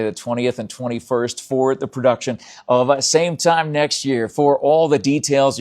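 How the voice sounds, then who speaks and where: A man's voice: mid-range voice, a little mellow. A man hosting an interview show, talking in an enthusiastic, emphatic, chatty way, as if promoting a local event.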